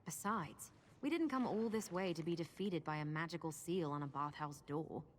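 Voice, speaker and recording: A confident, resourceful adventuring woman voiced by an actress guides the player through a fantasy game. Her voice sits on the low side, and she explains each step in a practical, matter-of-fact way. Game ambience runs as a noise behind her. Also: normal speed, close to an everyday voice. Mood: determined. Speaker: companion guide character in a fantasy video game. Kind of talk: scripted video game dialogue.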